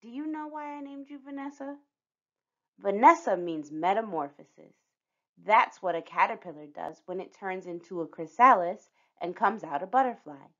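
Woman playing a reassuring storybook mom, very animated. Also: medium pitch, moderate pace, dull audio, lightly acted. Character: loving, reassuring mother of a small girl.

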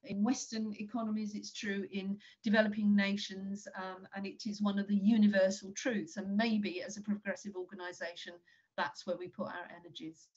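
A woman's voice: medium pitch, little pitch movement, moderate pace, a little mellow. Older woman, dull call audio. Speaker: panelist. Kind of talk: measured panel presentation. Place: indoor room at home, video call.

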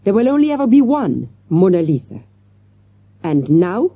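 A woman's voice: mid-range voice, very animated, normal speed, warm tone, breathy edge, dry recording, voice pushed up. A woman voicing a grave, self-assured male French detective inspector in a children's storybook. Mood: assured, firm.

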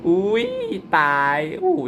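A young man commentating his own mobile werewolf game in a chatty, excitable way, polite but slipping into laughter. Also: slow pace, muffled recording, background noise. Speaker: gaming YouTuber.

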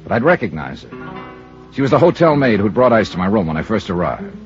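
An American actor playing a sardonic investigator in a 1950s radio drama, with wry, clipped noir delivery. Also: male voice, fairly low pitch, sing-song, fairly quick. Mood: brooding narration. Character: sardonic, cool insurance investigator in his prime. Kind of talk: 1950s radio detective acting and narration. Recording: dull vintage radio recording, music behind.

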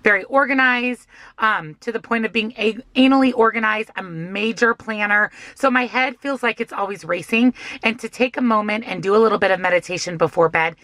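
A hoarse woman in her thirties chatting upbeat and emphatic to a phone camera from a parked car, vlogging about her week. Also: mid-range voice, fairly quick, fairly bright tone, no room sound. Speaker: weight-loss vlogger. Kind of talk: casual vlog chat to camera. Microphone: phone camera at arm's length.

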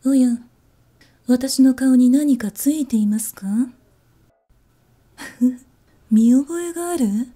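Woman playing a sly, hushed, predatory chief stewardess. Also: sits high, husky, voice pushed down, heavily acted. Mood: cool, courteous. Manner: hushed, slow menace under courteous speech. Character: sly, sadistic female chief attendant, predatory stalker. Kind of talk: Japanese ASMR situation voice drama.

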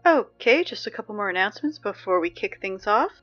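A woman's voice: medium pitch, lively intonation, brisk, fairly bright tone, lo-fi sound, faint music behind. A woman playing a chatty young school announcer who keeps getting flustered, in an audio drama. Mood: chipper. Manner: chatty, growing flustered and panicky.

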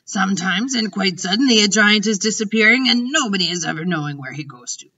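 Storybook giant, ancient, gentle, proud, childlike played by a woman; forceful, drawn-out, garbled grammar, slightly dull distant recording. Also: medium pitch, animated, breathy edge. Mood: musing. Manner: mangled grammar and invented words.

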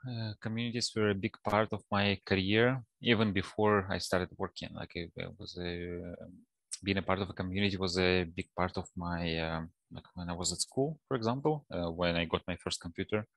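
A man with a Russian accent gives relaxed interview answers in a low-pitched voice. It has a lot of fry, and he pieces his sentences together haltingly. The headset sound is dry, with very little room in it. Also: no room sound. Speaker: guest, data science professional. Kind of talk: casual interview talk. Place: office, indoors, on a video call.

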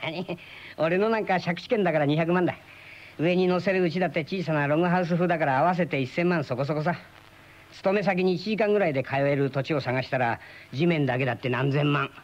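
A man's voice: fairly high pitch, fairly quick, lightly acted. An actor playing a chatty, wry friend in his thirties in a radio drama, explaining things colloquially. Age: 30s. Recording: dull lo-fi old radio recording.

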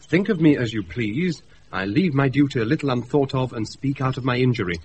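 Casting: male actor for measured, declaiming British manservant in radio Shakespeare, middle register, slightly noisy off-air recording. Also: medium pitch, darkish tone, lightly acted. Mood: declaiming, indignant. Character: household servant solemnly reading out an angry letter. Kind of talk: 1980s radio Shakespeare acting.

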